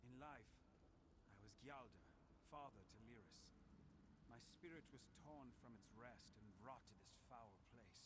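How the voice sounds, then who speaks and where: A man's voice: medium pitch, moderate pace, close to an everyday voice. An actor playing the sorrowful, tender ghost of a half-giant father in a video game. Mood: tormented, solemn. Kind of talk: dramatic video game voice acting. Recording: very noisy game capture with ambience.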